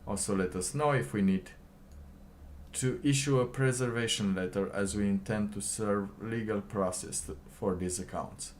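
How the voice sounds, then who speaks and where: A man giving casual livestream commentary and reading documents aloud, halting and rambling, often pausing mid-sentence. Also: on the low side, slight background noise. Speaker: livestream host.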